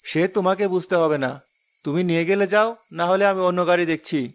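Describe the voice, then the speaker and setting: A man voicing a young city doctor in a cartoon, brisk and matter-of-fact with a slightly impatient manner. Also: sits high, fairly even intonation, lo-fi sound, close to an everyday voice.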